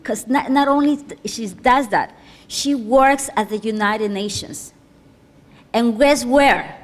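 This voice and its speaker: A woman's voice: fairly high pitch, takes it slowly. Woman doing an intro at an event, punchy, asks questions, sound rings in a big hall. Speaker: presenter introducing an honoree. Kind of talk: ceremony introduction.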